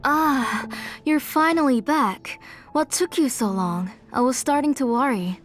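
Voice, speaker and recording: A woman playing a gentle, caring mother with quiet sorrow in a video game, soft-spoken and nurturing. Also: high voice, unhurried.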